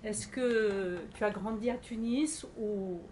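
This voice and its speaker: A woman's voice: medium pitch, slow, a little mellow. A woman from Algeria in the audience asking the director questions, friendly and searching for words. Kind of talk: casual questions in a Q&A. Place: large cinema hall. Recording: hall with audience noise.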